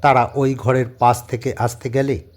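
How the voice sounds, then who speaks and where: A man with a husky voice reading scripted dramatic narration as the storyteller of an audio story. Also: medium pitch, flat delivery, moderate pace, darkish tone.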